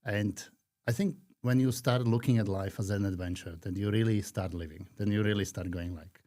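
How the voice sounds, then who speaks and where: A man in his fifties from Slovakia with a Slovak accent, talking earnestly and reflectively as a podcast guest, with frequent pauses. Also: on the low side, fairly even intonation, dark tone. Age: 50s. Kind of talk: conversational interview.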